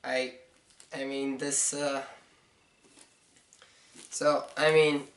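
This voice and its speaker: Hoarse young man rambling from across a room. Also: medium pitch, little pitch movement, very slow, fairly bright tone. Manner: rambling, hesitant, many fillers. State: hoarse, stuffy. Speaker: book reviewer. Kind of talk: casual video review. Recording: roomy, mic at a distance, faint noise.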